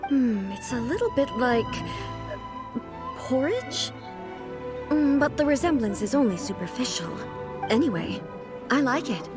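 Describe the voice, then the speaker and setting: A woman's voice: high voice, huge pitch swings, measured pace, long pauses, heavily acted. A woman voicing a regal, composed ruler in a video game, stately, formal and bluntly curious. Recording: music behind the voice.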